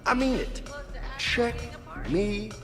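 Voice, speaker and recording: An actor playing a brash, muscular young soldier in a video game, casual and boastful in delivery. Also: male voice, high voice, takes it slowly, music in the background. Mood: cocky, defensive.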